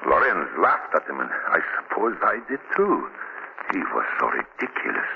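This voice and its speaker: An actor playing a nervous, defensive, scornful chemist in 1940s radio drama, agitated and emphatic. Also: male voice, low-pitched, big pitch swings, moderate pace, creaky, heavily acted. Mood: defensive, dismissive. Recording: muffled, noisy 1940s radio recording.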